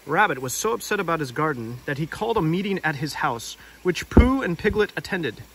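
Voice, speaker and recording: A man's voice: a little high, sing-song, a little fast, background noise. A man hosting a read-aloud outdoors, narrating a storybook with casual asides. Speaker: storybook reader and video host. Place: outdoors by a river.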